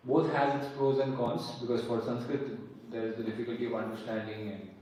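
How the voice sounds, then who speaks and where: A man's voice: medium pitch, little pitch movement, fairly quick, dark tone, slight background noise. A man giving a lecture on history and archaeology and answering audience questions, explaining patiently and repeating key points. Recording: echoey hall, mic far off, faint ambience.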